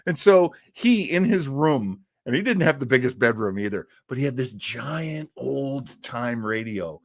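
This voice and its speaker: A man's voice: medium pitch, lively intonation, unhurried, no room sound. A man from the United States chatting casually and enthusiastically as host of a live listening show, rambling into asides. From United States, outside Boston. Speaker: host of an old-time radio listening show.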